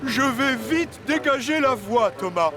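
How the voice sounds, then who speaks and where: A man's voice: very high voice, animated, unhurried. A man voicing a good-natured, sensible excavator in a children's cartoon, earnest in manner. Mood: friendly, earnest. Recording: music behind the voice.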